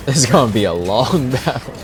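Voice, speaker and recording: Lively man casually reacting to a game. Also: mid-range voice. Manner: slangy exclamations, muttering to chat. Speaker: game streamer. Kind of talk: casual live gameplay reaction.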